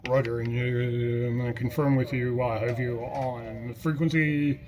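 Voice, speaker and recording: A man's voice: medium pitch, fairly even intonation, moderate pace, background noise. A man acting as net controller, calling stations in turn in an orderly, procedural way on a ham radio net.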